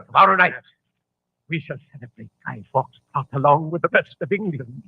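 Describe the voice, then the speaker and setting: A menacing, imperious plot leader from an old radio thriller. His highish voice swoops dramatically through gloating threats. It comes dry and narrow, like a vintage broadcast. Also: animated, moderate pace, creaky, slightly rough, dry recording, exaggerated voice. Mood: fervent triumph. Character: fanatical male plot leader, grandiose, menacing, feels betrayed. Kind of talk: 1940s radio drama acting.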